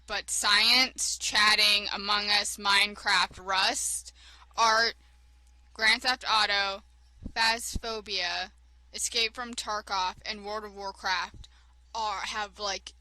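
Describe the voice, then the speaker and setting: A woman's voice: mid-range voice, fairly even intonation, takes it slowly, pushed and bright, no room sound, low-quality recording. A woman chatting casually and playfully as a guest on a let's play. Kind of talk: casual chat.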